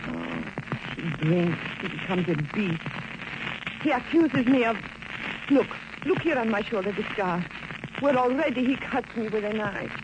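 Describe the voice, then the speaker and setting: An actress playing a melodramatic, coy Mexican femme fatale with a Spanish accent in 1940s radio drama style. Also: female voice, mid-range voice, big pitch swings, a little slow. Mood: agitated. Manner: coy, theatrical, formal phrasing. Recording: dull, noisy old-time radio recording.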